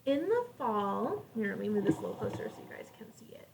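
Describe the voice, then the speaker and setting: Woman, high voice, children's teacher, read-aloud lesson, simple child-directed talk, slightly distant mic, faint noise. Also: very animated, fast talker, gravelly. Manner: child-directed, simple wording with questions to listeners.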